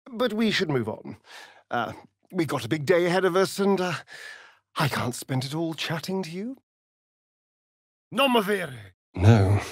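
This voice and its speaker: Casting: a vain, sardonic British vampire rogue for a fantasy game; unhurried, theatrical drawl, widely swinging pitch, flirtatious edge. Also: male voice, dry recording, clean studio sound, full character voice. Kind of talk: video game character dialogue.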